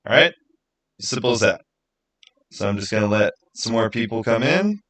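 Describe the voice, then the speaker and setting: A man's voice: medium pitch, expressive, normal speed, a bit bright. Casual male livestream host. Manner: informal, chatting directly to viewers. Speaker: live stream host running a giveaway.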